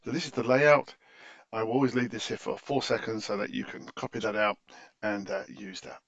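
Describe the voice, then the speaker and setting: A man's voice: medium pitch, fairly even intonation, fairly quick, gravelly, fairly bright tone, dry recording. A man narrating an informal technical tutorial in a hesitant, stop-start way, often correcting himself, in a slightly muffled close-miked recording. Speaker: tutorial presenter.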